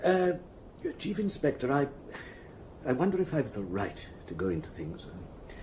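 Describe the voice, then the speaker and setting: An actor playing a fussy, discreet head clerk, formal and nervously deferential, with hesitant, precise phrasing in a radio drama. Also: male voice, mid-range voice, sing-song, moderate pace, a little mellow, a little hiss or hum, close to an everyday voice. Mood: guarded, hesitant.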